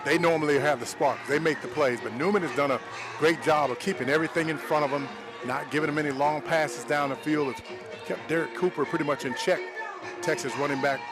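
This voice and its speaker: A man's voice: a little high, steady tone, a little fast, music in the background, crowd noise. A man giving conversational color commentary and analysis during a live football broadcast.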